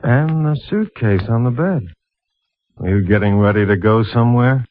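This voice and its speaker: An actor playing a terse, suspicious police lieutenant in a 1950s radio drama. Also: male voice, mid-range voice, normal speed, dry recording, lightly acted. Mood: suspicious, pressing. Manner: terse, accusing. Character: blunt, suspicious police lieutenant, adult man. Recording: tinny, narrow old radio recording.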